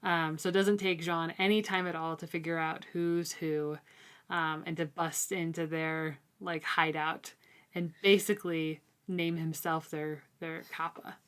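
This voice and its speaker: A woman's voice: deep voice, flat delivery, measured pace, a bit bright, clear, resonant tone. A woman hosting a podcast, talking through a book in an informal, thoughtful way. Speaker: podcast host. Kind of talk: casual book discussion.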